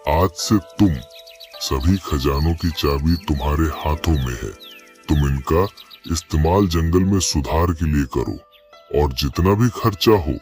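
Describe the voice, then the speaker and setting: A man's voice: a bass voice, darkish tone. A man playing an aging lion king in a cartoon story, stately and trusting, with weighty regal speech. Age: older. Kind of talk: cartoon story character acting.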